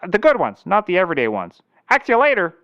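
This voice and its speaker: A man's voice: high-pitched, huge pitch swings, fast talker, dull audio, noisy background, full character voice. A man playing a deluded, addled slacker detective in a comedy audio drama, rambling and stammering erratically. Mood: flustered, evasive.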